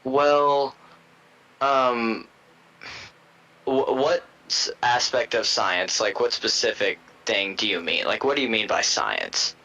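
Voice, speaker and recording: A man's voice: medium pitch, animated, takes it slowly, sharp tone. An American man co-hosting a casual podcast chat, arguing his points at length with restarts. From United States. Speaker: podcast co-host. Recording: dull lo-fi sound with faint noise.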